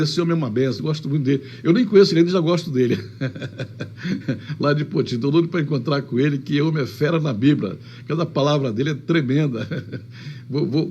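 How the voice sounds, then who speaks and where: Male preacher, fervent livestream sermon, slightly high voice, faint hum behind. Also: a little mellow. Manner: fervent, repeats blessings, switches into Spanish phrases. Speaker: apostle preaching online. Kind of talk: livestream sermon with shout-outs.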